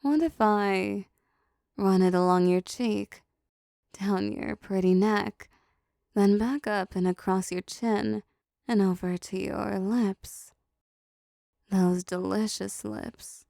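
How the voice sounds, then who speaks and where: Woman acting a slow, creaky-voiced seductive demoness. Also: mid-range voice, steady tone, warm tone, no room sound, clean studio sound. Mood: teasing, seductive. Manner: slow, teasing, dominant, doting. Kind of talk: intimate roleplay audio acting.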